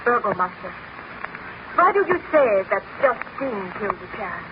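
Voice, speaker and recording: An actress playing a gentle, distressed young noblewoman, soft and grave, in a 1930s radio drama. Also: medium pitch, sing-song, normal speed, close to an everyday voice. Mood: troubled. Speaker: baroness. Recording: hissy, muffled 1930s radio recording.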